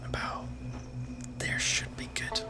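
A man's voice: slow, loud music behind the voice. A man whispering playful, sweary ASMR gaming chat close to a microphone as he streams and gives directions. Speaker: game streamer and navigator. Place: indoor streaming room. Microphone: close boom-arm microphone.

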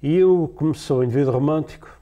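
A man's voice: a little high, fast, mellow. A man in his sixties from the Azores with a European Portuguese accent, talking as a guest in a TV studio, hesitant and full of fillers and restarts. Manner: hesitant, many fillers and restarts, laughs easily. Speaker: musician guest. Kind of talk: casual studio interview. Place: TV studio set, seated in a leather chair.

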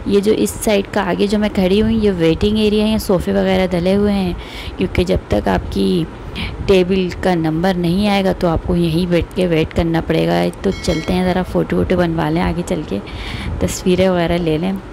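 A woman's voice: lowish voice, level tone, moderate pace, vocal fry, mellow, slight background noise, poor audio. A woman, casually narrating her family outing for a vlog and recommending the place. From Pakistan. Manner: chatty, recommending, pious phrases. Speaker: vlogger.

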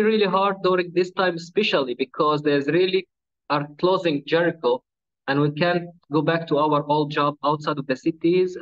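A man in his thirties from Palestine, Arabic his first language, answering interview questions in plain English with small slips, on a video call from a small room. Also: fairly high pitch. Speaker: webinar guest. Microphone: laptop webcam on a video call. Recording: dull lo-fi video call audio.

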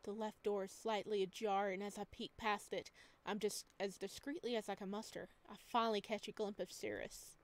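A woman's voice: mid-range voice, little pitch movement. Woman reading a story game aloud. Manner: reads narration, adds quick joking asides. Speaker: game streamer. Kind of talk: reading a visual novel aloud with commentary.

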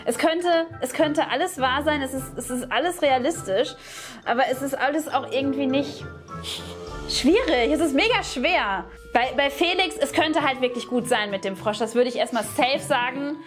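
A woman with a high voice plays a guessing game in casual, unscripted talk. She thinks out loud and hesitates between options. Music plays faintly behind her. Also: moderate pace. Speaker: game show contestant guessing the liar. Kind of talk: casual game show chat. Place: indoor studio set, seated at a table.

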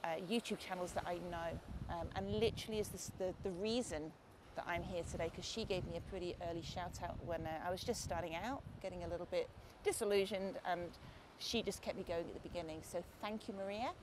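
A woman in her forties from Lancashire with a softened Lancashire accent, chatting casually to camera on a beach and thanking her viewers. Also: fairly low pitch, steady tone, a little fast. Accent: Lancashire English, softened. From Lancashire, England. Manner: chatty, warm thanks, calls viewers guys and mate. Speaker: art channel host. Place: outdoors on a beach at sunset. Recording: noisy outdoor camera audio.

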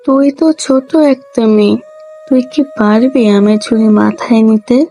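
A woman's voice: a little high, fairly even intonation, moderate pace, gravelly, warm tone, soft background music. A woman voicing a poor village mother who talks anxiously about having no food. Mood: worried.

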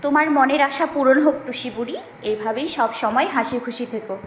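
High-pitched kind young woman acted out by a woman. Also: fairly quick, close to an everyday voice. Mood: gentle, coaxing. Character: kind, gently coaxing young married woman, medical student. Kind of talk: audio story voice acting. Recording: slightly muffled, roomy, faint music.

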